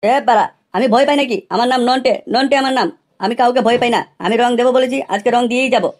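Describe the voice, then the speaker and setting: A woman's voice: a little high, very fast, slight background noise, close to an everyday voice. A woman voicing cheeky, brash young village lads in an amateur comedy cartoon, with slangy, crude and insistent talk.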